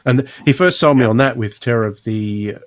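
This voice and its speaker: A male podcast host with a mid-range voice in relaxed-format conversation. He speaks informally and a bit haltingly, starting phrases again as he works out his thought. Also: mid-range voice. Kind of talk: casual chat.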